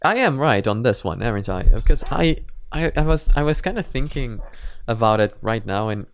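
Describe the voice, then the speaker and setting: A man's voice: medium pitch, expressive, moderate pace, smooth. A man giving casual, off-the-cuff reaction commentary, thinking aloud in a hesitant, rambling way. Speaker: host of anime reaction videos.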